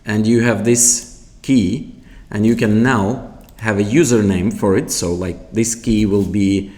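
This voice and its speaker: A man giving an unscripted product tutorial in a halting, explanatory way. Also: fairly low pitch, fairly even intonation, darkish tone. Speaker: product demonstrator.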